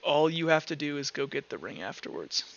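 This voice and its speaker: Male game master, slightly high and very bright voice, blunt and sweary, casual tabletop session. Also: very animated, brisk, gravelly, muffled recording. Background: faint music.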